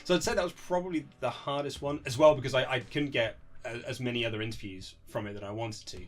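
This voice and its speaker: A man being interviewed as an author, chatting casually and telling anecdotes in an animated, conversational way. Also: medium pitch, fast talker. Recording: lo-fi amateur interview setup.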